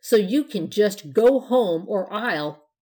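A woman's voice: mid-range voice, animated, measured pace. Woman playing a scowling, scolding grump of a man. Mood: angry, scolding. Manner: snorting, scolding, yapping delivery. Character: grumpy, suspicious, scornful man who begrudges trick-or-treaters. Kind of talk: storybook character voice in narration.

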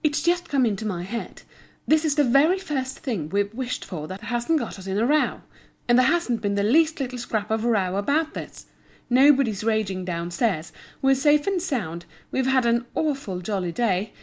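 A fairly high, sensible girl's voice, performed by a woman. She is the earnest, brisk and bossy elder sister of a children's storybook. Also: lively intonation.

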